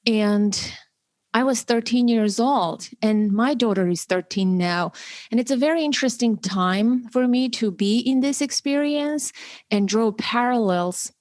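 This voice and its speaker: A woman's voice: fairly high pitch, measured pace, darkish tone, no echo, studio quality. A woman in her forties from Armenia, hosting a podcast and speaking earnestly and reflectively. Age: 40s. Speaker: podcast host. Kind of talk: podcast interview.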